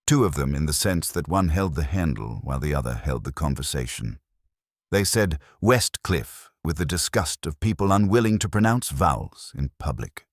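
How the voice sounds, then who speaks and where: A man's voice: rumbling low, dry recording, clean studio sound, exaggerated voice. A man voicing a wry, talkative male railway porter with a droll, roundabout way of talking, in an audiobook story.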